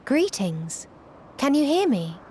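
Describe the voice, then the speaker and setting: A woman voicing a gentle, childlike artificial being in a video game, earnest and eerily calm, with a measured, formal manner. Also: high voice, a little hiss or hum, heavily acted. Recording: prominent game music behind voice.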